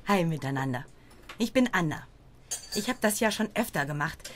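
A perky, over-friendly woman in her twenties played by an actress in a radio drama. She is eager, and her pitch swings in a very animated way. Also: moderate pace, a little hiss or hum. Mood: cheerful, eager. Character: chirpy, eager 25-year-old group organiser. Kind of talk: German radio drama acting.

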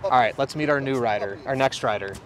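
A man's voice: medium pitch, a little fast, a crowd in the background. A man hosting on camera outdoors, interviewing people in an upbeat presenter style. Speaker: host, company CEO. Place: outdoors by traffic. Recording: outdoor recording with clear street noise.

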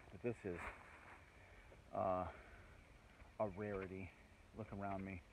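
A middle-aged man vlogging alone while camping in the woods, chatty and thinking aloud with rambling asides, recorded on a phone outdoors. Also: deep voice. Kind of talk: casual solo camping vlog. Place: outdoors in a wooded island. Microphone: phone camera, handheld selfie or set down nearby. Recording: noisy outdoor phone audio. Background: birds and outdoor ambience.

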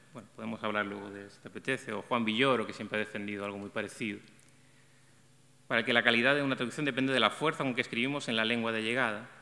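A middle-aged man reading a prepared essay aloud at an arts center event, measured and reflective, with small restarts. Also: mid-range voice, vocal fry, sharp tone. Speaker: event coordinator presenting a talk on translation. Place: arts center stage, seated at a table. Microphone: table microphone. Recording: long hall reverb.

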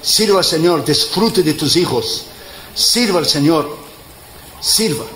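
A man in his fifties, an evangelist preaching a sermon, tired, emphatic, mixing jokes with loud rising exhortation. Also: on the high side, expressive, slow. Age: 50s. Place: church in front of a congregation. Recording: echoey church with crowd and noise.

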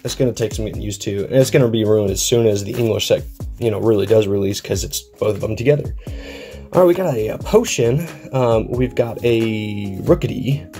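Young male vlogger with a head cold, darker, lower voice, rambling and friendly, faint music bed. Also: a little fast, mellow. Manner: rambling and upbeat, with frequent ums and uhs. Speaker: card collecting channel host. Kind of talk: casual pack-opening vlog. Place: indoor room at a desk.

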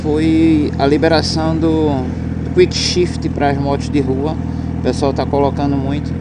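Brazilian male motovlogger, higher-pitched voice, slangy rambling chat, helmet mic under very loud bike and road noise. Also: flat delivery, normal speed. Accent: Brazilian Portuguese. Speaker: motorcycle vlogger. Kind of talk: casual vlog chat while riding. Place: outdoors riding a motorcycle on open roads.

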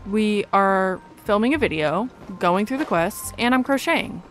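A woman's voice: mid-range voice, animated, moderate pace. A young woman giving casual, chatty gameplay commentary in an informal, self-amused way. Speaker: gaming YouTuber. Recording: game music under voice-over. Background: game music.

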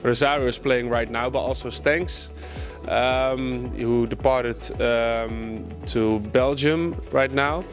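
A man's voice: mid-range voice, steady tone, takes it slowly, soft background music. A young man giving a casual interview in hesitant, broken phrases. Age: young adult. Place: indoor locker room.

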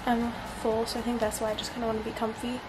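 A woman's voice: a little high, monotone, quick pace, over loud music. A young woman in her twenties chatting casually to camera from her bedroom, bubbly and full of fillers. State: congested. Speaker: vlogger and college student. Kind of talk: casual vlog chat to camera. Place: small bedroom indoors. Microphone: camera at arm's length.

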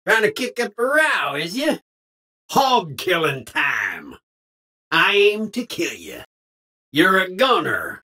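A feisty frontier cowboy for a game, drawling out old Western slang with huge swoops of pitch. The delivery is slow and deliberate, with a husky edge to the voice. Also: sing-song, sharp tone, exaggerated voice. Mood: hostile. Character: folksy cowboy NPC, feisty and colloquial. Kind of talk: video game character voice lines.

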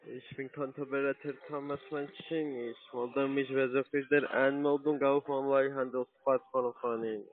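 Casting: male non-native phone agent, medium pitch, mumbled halting delivery, tinny phone line. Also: little pitch movement. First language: not German. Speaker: call-centre agent. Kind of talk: halting phone sales call.

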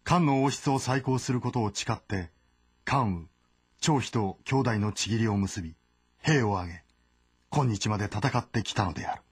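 Low-voiced, solemn male narrator. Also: breathy edge, no echo. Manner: solemn, measured. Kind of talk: scripted dramatic narration of historical game.

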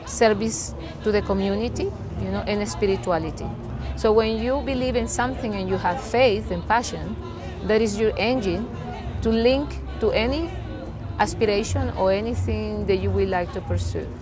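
A woman's voice: medium pitch, normal speed, music in the background, a crowd in the background. A middle-aged woman from Honduras with a Spanish accent, answering interview questions in a small studio in a thoughtful, reflective way. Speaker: guest singer and cultural promoter.

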